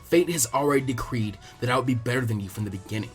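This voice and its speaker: A man voicing a cool, arrogant young prodigy ninja in a story reading, condescending, measured and fatalistic. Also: medium pitch, expressive, faint music behind, lightly acted. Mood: smug, cold. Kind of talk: dramatic audiobook character acting.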